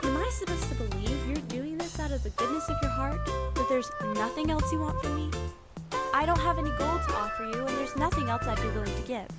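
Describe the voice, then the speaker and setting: A woman's voice: high-pitched, expressive, fairly quick. A woman playing a stubborn, sarcastic young girl who has been left on her own, in video game dialogue. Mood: sarcastic, defensive. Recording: loud music over the voice.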